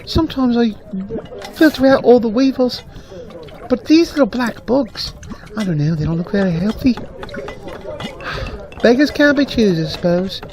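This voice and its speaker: A woman's voice: on the high side, expressive, moderate pace, long pauses, darkish tone, soft background music, natural voice, low-quality recording. A woman voicing a weary, fussy, bossy granary worker in a fantasy radio drama, grumbling with resignation. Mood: resigned, bossy. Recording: crowd chatter behind the voice.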